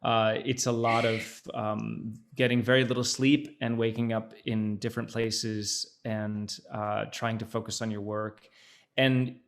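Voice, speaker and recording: A man's voice: on the low side, level tone, measured pace, gravelly. A man who is an actor, a guest chatting casually in an interview over a video call. He speaks thoughtfully, with many fillers and restarts. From Netherlands, by his mother.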